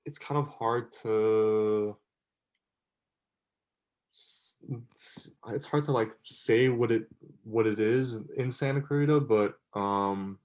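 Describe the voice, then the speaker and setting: A man's voice: lowish voice, slow, a little mellow. A twenty-two-year-old man answering an interview in a rambling, unsure way, full of fillers and restarts. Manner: rambling, unsure, says dude.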